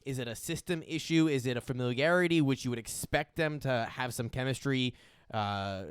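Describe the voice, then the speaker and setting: A man's voice: medium pitch, moderate pace, smooth, no room sound, studio quality. Analytical man breaking down stats. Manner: analytical, poses rhetorical questions. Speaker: podcast co-host. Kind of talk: sports analysis chat.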